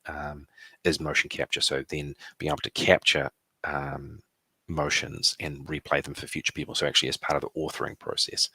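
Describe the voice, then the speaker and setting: A man with a New Zealand accent giving a conference presentation, relaxed, with many fillers and restarts. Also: rumbling low, dry recording.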